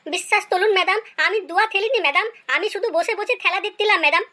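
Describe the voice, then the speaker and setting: A performer voicing a cheeky schoolboy pupil who makes excuses in a deferential, pleading way in an animated comedy skit. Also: very high-pitched, very fast.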